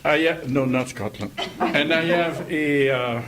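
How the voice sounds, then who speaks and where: A man's voice: a little high, measured pace, background noise. An older man with a French accent, introducing himself at a group meeting in a joking way and laughing often. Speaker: meeting attendee. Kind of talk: casual self-introduction.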